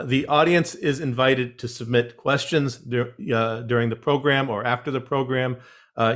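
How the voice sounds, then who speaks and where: A man moderating an online panel, reading guest introductions in a measured way with wry asides over a video call. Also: mid-range voice, fairly bright tone.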